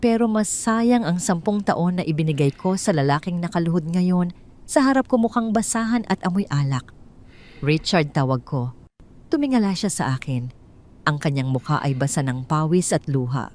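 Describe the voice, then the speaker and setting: A woman narrates a dramatic first-person story in measured, steady tones. Her voice sits a little low. The reading is composed and cool throughout.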